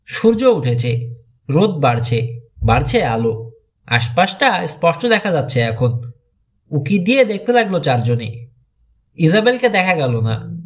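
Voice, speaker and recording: A man reading a mystery thriller audiobook as dramatic, expressive scripted narration. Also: on the high side, a little slow, pauses often.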